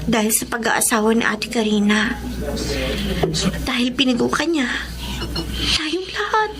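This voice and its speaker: A schoolgirl younger sister in a Filipino radio drama. The voice is high, sweet and plaintive, always a little fretful. The recording is clearly noisy. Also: expressive, measured pace. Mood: worried, pleading.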